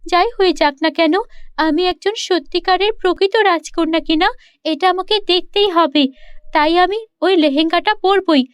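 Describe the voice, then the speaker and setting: A woman's voice: extremely high pitch, fairly even intonation, moderate pace, dry recording, clean studio sound. A woman voicing a restless, curious and brave young princess girl in a children's cartoon story, eager and questioning. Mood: determined.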